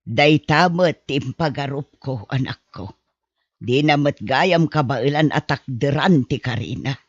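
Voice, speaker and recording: A husky older mother played by a woman in a radio drama, gently pleading and worried about her grown son. The sound is dry and a little muffled. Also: very deep voice, a little slow, no room sound, exaggerated voice. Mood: worried, sighing. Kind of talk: Ilocano radio drama acting. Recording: muffled dry close recording.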